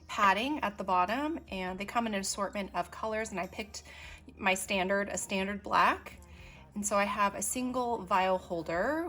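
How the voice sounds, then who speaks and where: A woman chatting casually as she shows her desk items, unhurried and full of ums and little asides while she works. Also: mid-range voice, a bit bright. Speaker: stationery vlogger. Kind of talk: casual show-and-tell narration.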